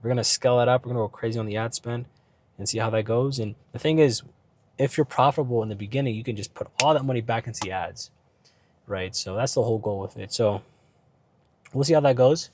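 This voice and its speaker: Man, ill, voice starting to go, casual driving vlog, rambling with fillers, middle pitch. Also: fast talker, a little mellow, slight background noise. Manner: rambling, filler-heavy, keeps asking "right?". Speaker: business vlogger. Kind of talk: casual talk while driving. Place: in a car.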